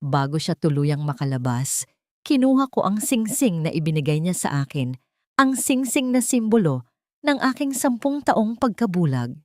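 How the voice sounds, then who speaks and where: A woman narrating a scripted first-person dramatic story in a solemn, deliberate, sentimental way. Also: lowish voice, very animated, no room sound, studio quality.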